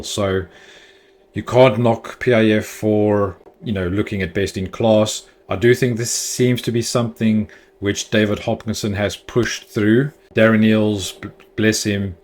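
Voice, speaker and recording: A man's voice: lowish voice, fairly even intonation, measured pace. A man hosting a football fan channel, giving chatty, opinionated news commentary with the odd filler.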